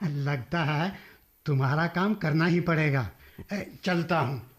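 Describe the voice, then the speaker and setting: High-voiced sly schemer. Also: male voice. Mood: sly, confiding. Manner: insinuating, measured. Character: sly, self-assured village schemer giving crafty advice. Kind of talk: Hindi radio play acting.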